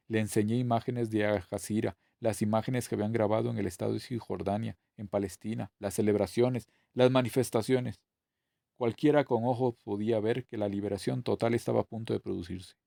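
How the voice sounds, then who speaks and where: A man's voice: fairly low pitch, steady tone, a little fast, gravelly, mellow, no echo, studio quality. A man reading a novel aloud as scripted narration. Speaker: audiobook reader.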